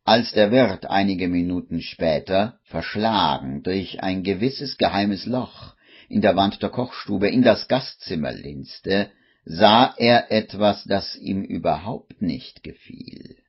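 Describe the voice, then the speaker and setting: A man narrating an old radio play in a measured storytelling manner. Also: sits low, slightly rough. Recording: muffled old radio recording, music behind. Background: music.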